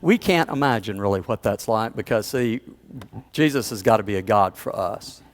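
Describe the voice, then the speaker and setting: A man's voice: on the low side, lively intonation, moderate pace. A male pastor delivering a sermon in a conversational storytelling manner, with chuckles and playful asides to the congregation.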